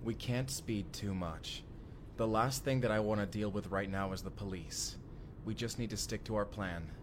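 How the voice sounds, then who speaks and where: A man's voice: lowish voice, moderate pace, lightly acted. Man acting desperate fugitive, urgent, over background noise. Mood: tense, subdued. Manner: urgent, foul-mouthed. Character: desperate young man on the run from time-traveling assassins. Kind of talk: first-person immersive audio drama acting.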